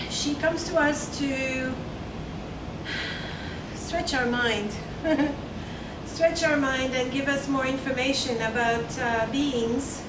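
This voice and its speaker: A woman host introducing a guest speaker, hesitant and full of fillers. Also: high-pitched. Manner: halting, full of fillers, with light laughs. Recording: distant, echoey, very noisy room recording.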